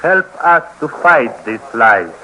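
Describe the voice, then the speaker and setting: An actor playing an oppressed Polish man in a 1950s radio drama-documentary, earnest, deliberate and pleading for the truth. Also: medium pitch, big pitch swings, sharp tone, exaggerated voice. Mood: solemn pleading. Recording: noisy old 1950s radio recording.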